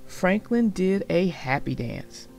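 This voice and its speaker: Animated woman reading storybooks, unhurried. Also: lowish voice, creaky, soft background music. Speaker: narrator of children's read-aloud channel. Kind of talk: storybook read-aloud for young children.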